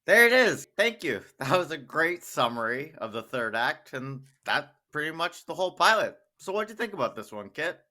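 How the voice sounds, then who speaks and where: Bright-voiced man with dry podcast banter. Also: a little high, big pitch swings, moderate pace, few pauses. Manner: dry, wry humour. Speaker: podcast co-host. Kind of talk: casual conversational commentary.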